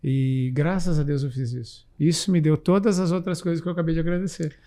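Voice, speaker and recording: A man in his forties from the São Paulo countryside, a physician and health manager, speaking reflectively in an interview with frequent pauses and fillers. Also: fairly high pitch, fairly even intonation, mellow. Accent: São Paulo countryside Brazilian Portuguese. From interior of São Paulo state, Brazil. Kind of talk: casual interview talk.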